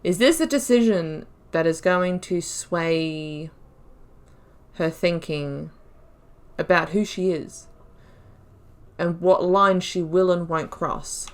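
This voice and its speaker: A woman's voice: fairly low pitch, slow, long pauses. Chatty woman musing over a game. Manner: musing, chatty, laughs often. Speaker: YouTuber playing a video game. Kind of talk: let's-play commentary.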